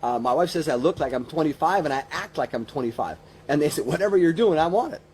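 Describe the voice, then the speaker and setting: A man's voice: a little high, expressive, fast talker, a little mellow, a little hiss or hum. A man in his fifties giving an informal motivational business coaching talk, rambling conversationally and repeating phrases for emphasis. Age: 50s.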